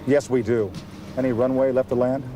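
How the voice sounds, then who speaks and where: A man's voice: mid-range voice, steady tone, a little fast, lightly acted. Harried male executive played by an actor, middle register, clipped and grumbling, music under the dialogue. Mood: alarmed. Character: overworked, tense businessman on the go. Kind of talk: acted medical dramatization.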